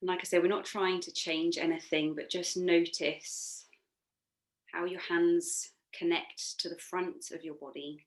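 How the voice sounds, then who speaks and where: A woman yoga instructor leading a guided relaxation meditation in a soothing, gently encouraging, unforced manner. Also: on the low side, steady tone, unhurried.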